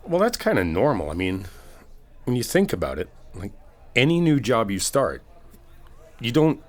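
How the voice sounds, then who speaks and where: A man's voice: mid-range voice, huge pitch swings, normal speed. A man playing a supportive, easygoing best friend in a casual ASMR comfort roleplay, talking conversationally and reassuringly as if to one listener. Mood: reassuring, explanatory. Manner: conversational, reassuring, hesitant rephrasing. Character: supportive, easygoing male best friend giving a pep talk. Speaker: audio roleplay performer.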